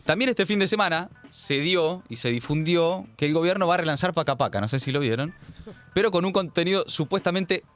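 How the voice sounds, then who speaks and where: A man's voice: a little high, fairly quick, fairly bright tone, soft background music. A man with a Rioplatense Argentine accent hosting a political commentary show, talking in an emphatic, sardonic, slangy way. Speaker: host.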